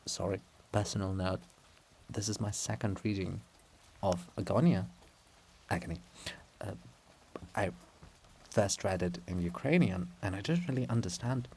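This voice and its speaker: A man's voice: fairly low pitch, unhurried, long pauses, darkish tone, a little hiss or hum. A man reading a drama aloud, narrating and giving casual asides. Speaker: reader.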